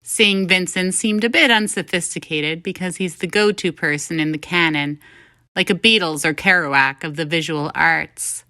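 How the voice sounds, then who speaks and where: A woman narrates a scripted short story in the first person. Her voice is slightly low, clear and forward, captured very dry and close. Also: moderate pace, sharp tone, no room sound. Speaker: story reader.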